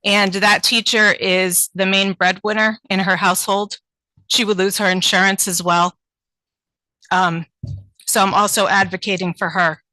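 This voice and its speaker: A woman's voice: medium pitch, flat delivery, a little slow, bright, forceful tone, no echo, poor audio. A woman teacher reading a prepared public comment at a town board meeting, earnest and pleading, with frequent ums.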